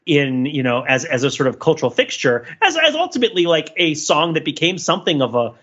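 A man in a casual panel discussion, rambling through wry, animated, digressive analysis of pop culture. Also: on the high side, brisk, breathy edge, highs cut off. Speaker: podcast panelist.

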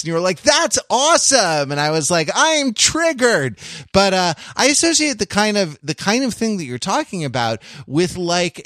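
A man chatting casually and analytically as a podcast panelist, in a halting, discursive way that circles back over phrases. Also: fairly high pitch, a bit bright, no echo, clean studio sound.

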